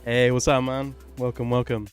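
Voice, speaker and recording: A man's voice: medium pitch, level tone, normal speed, clear, resonant tone, soft background music. A man casually chatting on a livestream while he works, informal and sweary, often muttering to himself. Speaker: livestream host, music maker.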